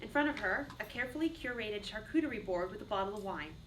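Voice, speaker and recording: A woman's voice: mid-range voice, expressive, quick pace. A woman reading stage directions as scripted narration for a play. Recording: distant mic, clearly noisy room.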